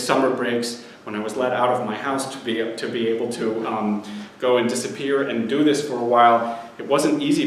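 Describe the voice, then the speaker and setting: A man in his forties giving an academic history lecture, measured and explanatory, steadily listing places. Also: mid-range voice, slight background noise. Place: lecture hall. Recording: very echoey hall with faint ambience.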